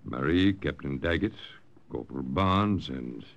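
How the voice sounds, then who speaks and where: An actor playing a wary, formal French Resistance farmer with a French accent in an old-time radio drama. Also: male voice, extremely low pitch, animated, a little slow, vocal fry, lo-fi sound, full character voice. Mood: guarded. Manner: formal, pointed, suspicious. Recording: vintage radio drama recording.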